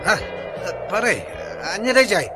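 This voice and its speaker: A man's voice: sits high, animated, measured pace, loud music behind the voice. A man with an Ilocano accent playing a carefree, lazy, hard-drinking husband in a radio comedy-drama. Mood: uneasy, alarmed.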